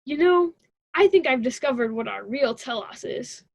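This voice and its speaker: A woman's voice: sits high, lively intonation, moderate pace, gravelly, darkish tone, no echo, highs cut off, full character voice. A young woman playing a self-important, scheming college student in a radio drama, with a grandiose theatrical delivery. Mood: petulant. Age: young adult.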